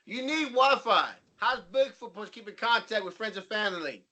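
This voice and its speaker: A man with a high, bright, forceful voice trades casual banter as a podcast co-host. He keeps up a teasing, joking line of talk. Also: expressive, brisk.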